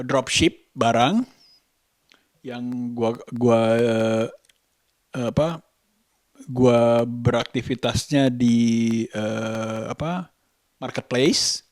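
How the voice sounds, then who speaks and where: A man talking casually in an unscripted vlog, halting often as he searches for words. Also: medium pitch, dry recording, clean studio sound. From Indonesia. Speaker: vlogger and musician.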